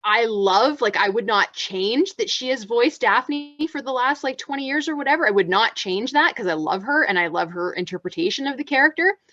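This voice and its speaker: A chatty woman co-hosting a relaxed panel talk, with a low voice she considers masculine, often restarting her sentences. The sound is dry and close, a little muffled. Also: moderate pace, hardly any pauses, no echo. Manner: chatty, many fillers and restarts. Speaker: podcast co-host. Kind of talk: casual panel discussion.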